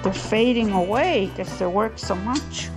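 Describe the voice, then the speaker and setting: A woman's voice: medium pitch, lively intonation, a little slow, music in the background. A woman vlogging casually in a chatty, teasing way, recorded on a handheld camera outdoors on a building site. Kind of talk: casual vlog narration and chat. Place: outdoor building site under a raised house. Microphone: handheld camera, held by the speaker.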